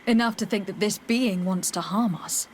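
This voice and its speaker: An actress playing a composed, inquisitive female adventurer in a video game, with a measured, questioning delivery. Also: mid-range voice, slight background noise, lightly acted. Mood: concerned. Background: game music under the voice.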